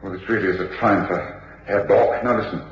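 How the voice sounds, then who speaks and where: A man's voice: low-pitched, steady tone, fairly quick, lightly acted. Male actor, English radio detective, clipped, commanding, reasoning, muffled echoey vintage recording. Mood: urgent, commanding. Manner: clipped, urgent, authoritative. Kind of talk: 1950s-60s British radio drama acting. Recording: echoey, dull, noisy old radio recording.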